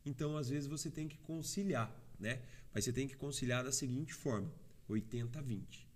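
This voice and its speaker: A middle-aged man talking as an interview guest, earnest and explanatory, often checking in with short tag questions. His voice has an ordinary middle pitch. He talks his way through points with restarts and fillers. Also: normal speed. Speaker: trucking company owner, guest. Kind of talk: casual interview chat.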